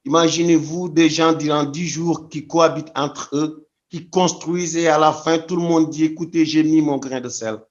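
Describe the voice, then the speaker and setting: A man's voice: fairly high pitch, fairly even intonation, normal speed, dull audio. A man from Senegal answering interview questions thoughtfully, often restarting his phrases. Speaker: guest.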